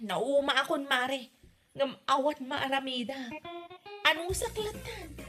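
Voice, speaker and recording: A woman with an Ilocano accent playing a brash, dramatic young mother in a comedy drama, with exclaiming, drawn-out delivery. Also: high-pitched, pushed and bright, soft background music, heavily acted. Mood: complaining. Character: brash, dramatic young mother defending her love life.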